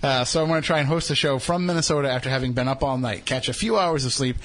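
A man's voice: mid-range voice, fast, fairly bright tone. A man with a Boston accent hosting a radio talk show, bantering casually and poking fun at himself. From New England. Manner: joking, self-deprecating.

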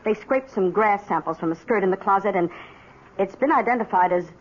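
A woman's voice: mid-range voice, a little fast, natural voice. A woman playing a dutiful, matter-of-fact police sergeant in a 1970s radio drama, deferential and businesslike. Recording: muffled old radio with faint noise.

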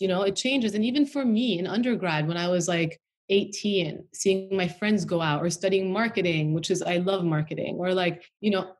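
A woman from the US capital, a guest chatting in an interview, loose and talkative with lots of filler words. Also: medium pitch. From Washington DC, United States. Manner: chatty, frequent "you know" and "like". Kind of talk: casual interview conversation.

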